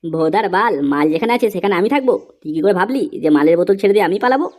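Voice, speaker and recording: A woman's voice: mid-range voice, lively intonation, very fast, a little mellow, close to an everyday voice. A woman voicing a crude, hot-tempered young drinker who scolds friends in a comedy cartoon. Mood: brash.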